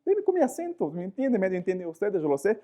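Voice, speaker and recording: A man whose first language is Portuguese, speaking Spanish with a Portuguese accent as he gives a live lecture, animated, often searching for words and asking rhetorical questions. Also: high-pitched, quick pace.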